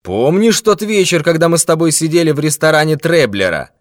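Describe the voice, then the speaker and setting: A man voicing a brutal, mocking red-haired robber who shouts threats in a raised, forceful voice. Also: a little high, lively intonation, fairly quick, dry recording, clean studio sound, pitched above the performer's own voice, close to an everyday voice. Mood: threatening.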